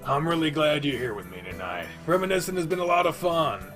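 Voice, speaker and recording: A man voicing an excitable young male animal-person who boasts about his tabletop game characters. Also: on the high side, fairly quick, music in the background. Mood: fond. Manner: giggly, boastful storytelling.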